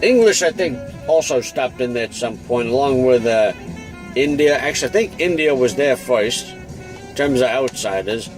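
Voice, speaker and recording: A man's voice: medium pitch, expressive, normal speed, close to an everyday voice, poor audio. A man voicing a world-weary, wisecracking old-time private detective, rambling in an offhand, slangy, grumbling way. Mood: rambling, musing. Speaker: narrator and host of a comic monologue. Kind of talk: noir private-eye monologue, improvised rambling. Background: jazz music with saxophone.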